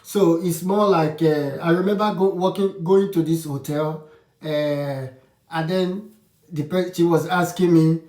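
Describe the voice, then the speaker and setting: A man's voice: fairly high pitch, fairly even intonation, unhurried, a little mellow. A man giving casual advice to his channel's viewers, frank and opinionated, often restarting his sentences. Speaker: vlogger. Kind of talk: casual advice talk to camera. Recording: roomy echo, distant from the mic.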